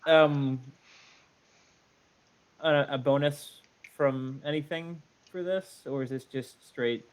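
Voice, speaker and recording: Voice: mid-range voice, fairly even intonation, slow, highs cut off. A player in an online tabletop game, asking tentative questions about spells and rolls, often laughing. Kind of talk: casual tabletop play.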